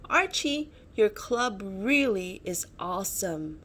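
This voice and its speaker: A woman voicing an excitable, bossy young female dinosaur friend in a children's storybook, exclaiming urgently. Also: mid-range voice, slow pace, full character voice. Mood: delighted. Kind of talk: children's storybook character reading.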